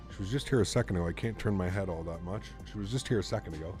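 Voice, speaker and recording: A man in his thirties streaming a flight sim, chatting casually with viewers and telling a story, relaxed and chatty with plenty of fillers. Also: sits low, very fast, music in the background. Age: 30s. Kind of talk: casual live-stream chat reading viewer comments.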